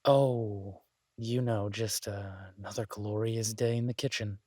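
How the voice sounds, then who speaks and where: A man playing an exasperated restaurant chef venting to his partner in a halting, grumbling audio roleplay. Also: fairly low pitch, fairly even intonation, darkish tone, no echo, studio quality. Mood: weary, wry. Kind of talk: boyfriend audio roleplay, conversational monologue.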